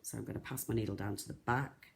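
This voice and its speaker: Croaky, soft-spoken beading tutor. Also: medium pitch, fairly even intonation, fast talker. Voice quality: soft, not loud. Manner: chatty, rambling, lots of ums and restarts. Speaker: craft shop tutor. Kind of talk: live-streamed beading tutorial.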